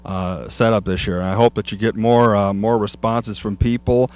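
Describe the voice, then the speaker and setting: A man's voice: fairly low pitch, fairly even intonation, normal speed, darkish tone. A man hosting outdoors on a wooded trail, chatting and joking with guests. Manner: jokey, chatty. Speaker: host. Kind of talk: casual chat.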